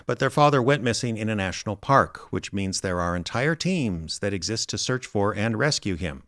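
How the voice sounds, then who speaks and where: A man playing a wry, reluctant small-town amateur detective, narrating and talking in a deadpan way in an audio drama. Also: on the low side, normal speed, dry recording, clean studio sound. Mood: weary, exasperated.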